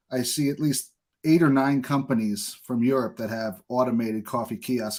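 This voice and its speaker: A man's voice: medium pitch, a little mellow. An American man speaks as a podcast host in casual, conversational interview talk.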